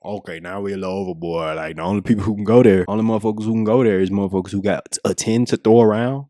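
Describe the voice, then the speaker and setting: A man's voice: on the low side, steady tone, racing, dark tone, no echo, studio quality. An American man giving casual, unscripted reaction commentary in a slangy style full of swearing. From United States. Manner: slangy, heavy swearing, repeats checking phrases. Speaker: reaction video host.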